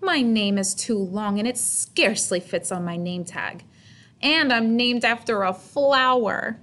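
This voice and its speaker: A woman's voice: a little high, animated, unhurried, fairly bright tone. A woman voicing a miserable young mouse schoolgirl from a storybook, glum and drawing out her words. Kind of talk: children's storybook character.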